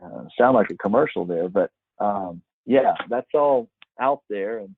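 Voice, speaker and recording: Husky low-voiced guy in his fifties presenting a webinar, chatty, sounds like a phone line. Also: unhurried, slight background noise. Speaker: webinar presenter, former broadcast meteorologist. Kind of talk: informal webinar talk with anecdotes. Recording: muffled narrow phone-like line.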